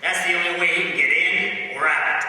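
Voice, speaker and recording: Ruthless, commanding gangster, man acting, forceful bright delivery, old-time radio drama, far off in a ringing hall. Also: sits high, normal speed. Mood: bossy. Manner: curt, slangy, commanding. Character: bossy, ruthless male gangster with Tommy gun. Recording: very echoey hall, far from mic.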